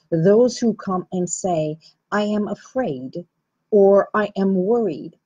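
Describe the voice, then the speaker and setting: A woman with an Armenian accent speaks slowly and earnestly, taking her time over each thought. Her voice is low, mellow and a little husky. The recording sounds muffled and lo-fi. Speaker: healing practitioner and host. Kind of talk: reflective talk to camera.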